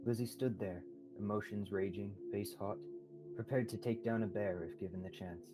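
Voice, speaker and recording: Low-voiced young male narrator over music. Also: steady tone, normal speed. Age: young adult. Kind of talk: scripted radio drama narration.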